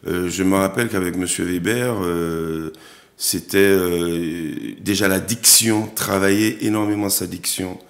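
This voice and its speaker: Gravelly low-voiced man with halting speech. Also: steady tone, reverberant. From Martinique. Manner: halting, many repeated words and restarts. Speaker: actor and director, guest. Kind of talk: TV interview.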